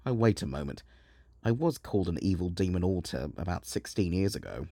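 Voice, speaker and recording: A man plays a self-proclaimed evil demon with an English accent for a comedy sketch. He is pompous and theatrically villainous without being truly menacing, with wide swings in pitch and a clean, dry sound that has a slight husk. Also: very animated, a little fast, creaky, mellow, no room sound, full character voice. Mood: defensive, pompous. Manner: pompous, mock-sinister.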